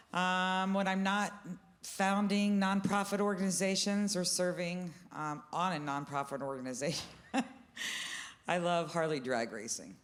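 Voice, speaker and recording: Low-voiced, friendly woman introducing herself. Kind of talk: casual self-introduction to a group.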